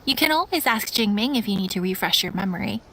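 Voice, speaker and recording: Young fantasy game woman, friendly, cheerful, bright forceful tone, faint background noise. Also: medium pitch, expressive, fast talker. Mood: friendly, upbeat. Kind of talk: video game voice acting.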